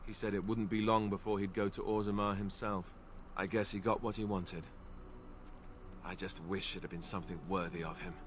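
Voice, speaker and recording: A man's voice: lowish voice, flat delivery, fairly quick, slight background noise, natural voice. A man playing an earnest, rueful young warrior. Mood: somber. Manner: earnest, somber, quietly grieving. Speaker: game companion character.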